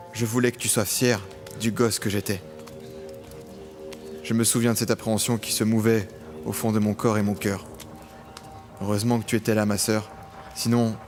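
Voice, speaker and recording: Un homme jouant un jeune homme grave et mélancolique. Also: male voice, lowish voice, close to an everyday voice. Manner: solemn, literary, nostalgic. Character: brooding young man, former street orphan, recalling childhood with emotion. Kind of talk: dramatic first-person audio drama narration.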